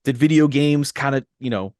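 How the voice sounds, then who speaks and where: A man's voice: medium pitch, moderate pace, a little mellow, dry recording, clean studio sound. A man around his thirties chatting casually as a podcast co-host, telling stories with repeated phrases and quoted asides. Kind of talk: casual movie discussion.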